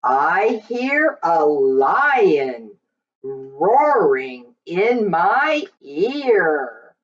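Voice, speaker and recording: A woman reading a picture book aloud to young children, in a sing-song, drawn-out way with questions to her listeners. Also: lowish voice, big pitch swings, dull audio.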